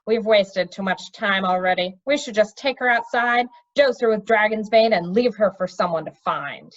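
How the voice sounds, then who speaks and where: A woman's voice: medium pitch, normal speed, no echo, lightly acted. Woman voicing a grumbling, impatient wizard villain, muffled audio. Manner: grumbling, complaining.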